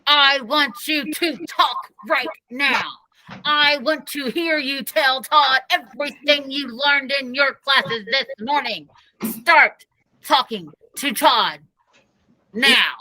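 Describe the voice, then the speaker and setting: A stern, bullying woman principal from a children's audiobook, acted out by a woman. Her voice is fairly high and sharply forceful. She issues commands slowly and deliberately. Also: no echo, full character voice, low-quality recording. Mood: furious, demanding. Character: stern school principal yelling orders, adult woman. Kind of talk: children's audiobook read-aloud.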